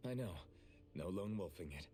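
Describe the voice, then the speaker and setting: An actor playing a steady, caring young male swordsman in a video game, with a calm, reflective manner. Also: sits low, normal speed. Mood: reassuring, resolute. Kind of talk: video game English voice acting. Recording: music behind the voice. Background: game music.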